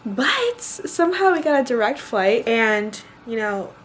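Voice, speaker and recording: A woman's voice: fairly high pitch, big pitch swings, a little slow, a little hiss or hum. A young woman in her twenties chatting to camera in a casual vlog, congested, chatty and giggly. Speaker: vlogger.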